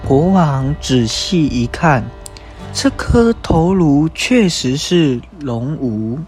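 A man's voice: fairly high pitch, expressive, slow, darkish tone. A young man with a Taiwanese Mandarin accent reading scripted narration for a radio drama. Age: young adult. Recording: music bed behind narration.

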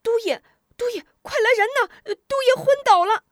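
A woman voicing a panicked young soldier in a told story, calling out in a high, yelping alarm. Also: big pitch swings, moderate pace, darkish tone, dry recording, studio quality.

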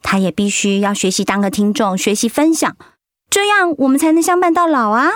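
An actress playing a hurt, outspoken wife recovering from cancer in an emotional, plaintive audio drama performance. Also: female voice, fairly high pitch, a little fast, no echo, studio quality, close to an everyday voice. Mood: reflective, firmer.

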